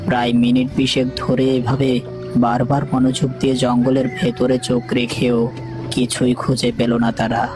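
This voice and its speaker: Male narrator for dramatic horror tales, medium pitch, prominent music bed. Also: completely flat delivery, moderate pace. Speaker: storyteller. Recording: loud music under the voice.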